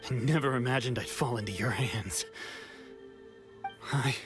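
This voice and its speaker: A man voicing a quiet, resigned captured swordsman. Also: mid-range voice, measured pace, music in the background, natural voice. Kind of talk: video game dialogue.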